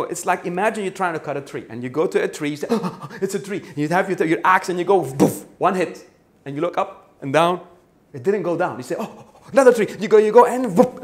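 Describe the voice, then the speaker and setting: Animated Arabic-native businessman telling stories. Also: a little high. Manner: animated, acts out anecdotes with sound effects. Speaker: sales trainer guest. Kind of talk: storytelling interview answer. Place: studio set with orange backdrop.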